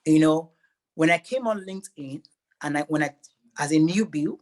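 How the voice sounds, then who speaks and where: A man's voice: fairly high pitch, normal speed, a little mellow. Earnest Nigerian man, motivational speaker. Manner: earnest, emphatic, repeats phrases for effect. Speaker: interview guest, motivational speaker. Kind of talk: motivational interview answer.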